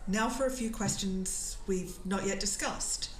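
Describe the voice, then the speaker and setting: A woman's voice: medium pitch, measured pace, close to an everyday voice. A woman playing a polite, careful podcast interviewer asking questions. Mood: polite, probing. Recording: echoey, distant, noisy room.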